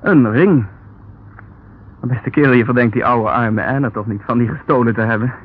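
Tinny radio actor's pompous, evasive lord. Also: male voice, mid-range voice, normal speed, slightly rough, lightly acted. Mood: indignant. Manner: pompous, condescending. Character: haughty, evasive English lord, indignant dissolute aristocrat. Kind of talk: Dutch radio detective drama acting. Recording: tinny, band-limited, noisy old radio recording.

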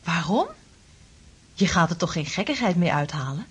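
Affectionate woman, very husky and breathy, coaxing lover, practical, radio play acting. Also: on the low side, animated, normal speed. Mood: soothing, coaxing.

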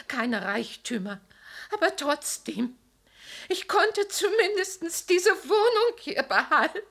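An actress playing an elderly widow who lives alone, speaking in a plaintive, tearful way with a gravelly edge in a radio drama. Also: female voice, extremely high pitch, fairly bright tone, heavily acted. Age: older. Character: elderly widowed woman tenant, lonely and fearful. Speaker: witness.

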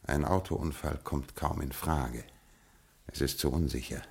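An actor playing a cool, ironic aristocratic lord who has become a gangster boss, in an old radio crime play, with a dry, drawling delivery. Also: male voice, a bass voice, fairly even intonation, unhurried, gravelly, breathy edge, exaggerated voice. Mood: menacing irony.

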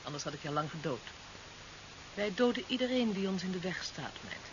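A woman's voice: fairly low pitch, very animated, a little fast. A woman playing a cold, resolute alien agent who still loves the man she opposes, controlled and ominous, in a 1970s radio drama. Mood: cold, threatening. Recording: muffled, hissy 1970s radio recording.

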